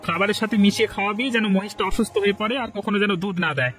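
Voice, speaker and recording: A man's voice: high voice, steady tone, rapid-fire, fairly bright tone, soft background music. A man playing a bossy, jealous village troublemaker in cartoon dialogue. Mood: scheming, bossy.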